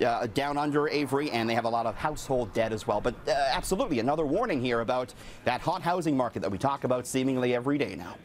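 A man's voice: medium pitch, animated, fast talker, a little hiss or hum. A man in his thirties, a business editor, giving a chatty newscast report from a radio studio. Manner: chatty, joking banter between reports.

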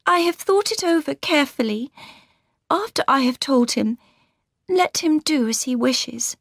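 A woman voicing a young earnest heiress, high and pleading. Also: expressive, a little slow, creaky, no echo, clean studio sound, heavily acted. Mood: resolute. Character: young gentle earnest woman, heiress near twenty-one.

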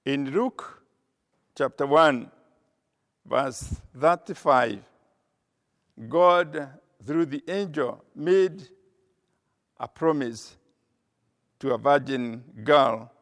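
An older man preaching a sermon from a church pulpit, slow and measured, pausing with frequent "uh" as he cites scripture. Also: mid-range voice. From Kenya. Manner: slow, measured delivery with frequent uh pauses, reading scripture aloud. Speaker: pastor. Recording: long reverb of a church hall.

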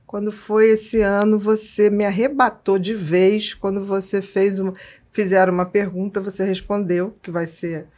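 This is a middle-aged Brazilian woman with a medium-pitched voice. She hosts a podcast in a casual, conversational way. She speaks close to a desk microphone in a studio. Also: little pitch movement, moderate pace, a little mellow. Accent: Brazilian Portuguese. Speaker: podcast host. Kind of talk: casual interview chat. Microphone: desk broadcast microphone up close.

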